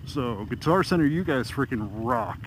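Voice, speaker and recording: A man's voice: mid-range voice, expressive, moderate pace. A man riding a motorcycle and talking frankly into a helmet headset mic, grumbling and swearing casually. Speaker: motorcycle vlogger. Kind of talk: casual vlog talk while riding. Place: on a motorcycle on city streets, outdoors. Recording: loud wind and engine noise. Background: motorcycle engine and traffic.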